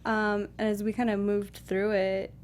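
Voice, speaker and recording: A woman's voice: mid-range voice, flat delivery, measured pace, slight background noise. A woman co-hosting a film discussion show, thinking aloud in informal, casual chat. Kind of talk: casual panel chat about movies.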